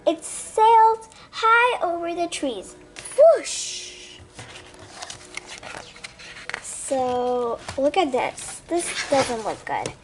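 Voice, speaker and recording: High, animated child reading a storybook aloud. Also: drawn-out words, long pauses, slight background noise. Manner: expressive, with repeated words and sound effects. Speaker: storybook reader. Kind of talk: read-aloud storytelling with asides to young listeners.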